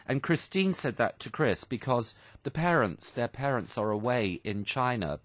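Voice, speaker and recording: Animated male radio English teacher. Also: mid-range voice, normal speed, no echo. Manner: didactic, explains phrases simply with examples and repetition. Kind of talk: explanatory English lesson for learners, radio presenting. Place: radio studio.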